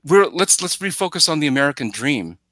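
Bright-voiced man, rambling and emphatic. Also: fairly high pitch, big pitch swings, a little fast, no room sound, clean studio sound. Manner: rambling, emphatic, acts out imagined quotes. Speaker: podcast guest commentator. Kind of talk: casual film discussion. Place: indoors, plain room, video call. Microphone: headset.

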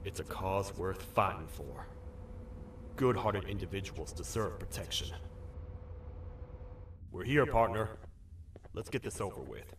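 A man's voice: low voice, animated, measured pace, pauses often, far from the mic, slight background noise. A man playing a massive, loyal toad sheriff in a video game, earnest and folksy like a frontier lawman. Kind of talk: video game character acting.

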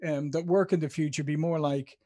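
An Irish man in his fifties hosts a conversational interview. His voice sits a little high, and he thinks aloud, often restarting a phrase before landing the point. He speaks close into a podcast microphone. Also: fairly even intonation, brisk, mellow. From Ireland. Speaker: interview host. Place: home studio with branded backdrop. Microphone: podcast microphone on stand.

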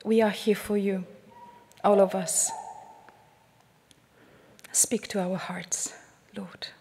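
A religious sister with a Polish accent giving a heartfelt talk to a congregation, slowing to a hushed tone when she prays. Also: medium pitch, flat delivery, a little hiss or hum. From Poland. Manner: slow, heartfelt, drops to a hushed near-whisper in prayer. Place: large church shrine. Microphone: headset mic. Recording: long church reverb.

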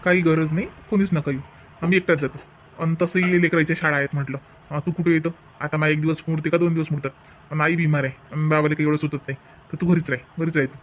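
A man's voice: fairly high pitch, steady tone, quick pace, slight background noise, close to an everyday voice. A man playing a bossy village husband and brother in a comedy cartoon, brusque and repetitive, with a Vidarbha Marathi accent. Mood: dismissive. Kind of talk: exaggerated cartoon family comedy.

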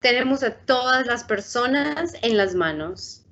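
Uma mulher de uns trinta anos, apresentadora de um programa infantil, lendo um livro ilustrado devagar e com calma numa chamada de vídeo. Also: female voice, on the high side, normal speed. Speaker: outreach storytime host. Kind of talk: bilingual children's book reading. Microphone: laptop webcam on video call. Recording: muffled video call audio.

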